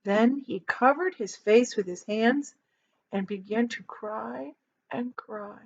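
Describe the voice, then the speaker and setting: Female storybook reader, teacherly, high-pitched and expressive, on a slightly dull lo-fi recording. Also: slow pace, vocal fry, husky. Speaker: teacher reading a children's book aloud. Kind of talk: expressive storybook read-aloud.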